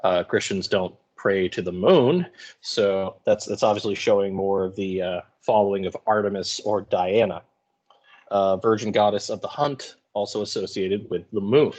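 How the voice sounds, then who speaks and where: A male English teacher with a fairly low voice talks through a lesson informally. His phrasing is colloquial and wry, more like explaining to students than reading a script. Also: normal speed, darkish tone. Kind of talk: informal lecture commentary.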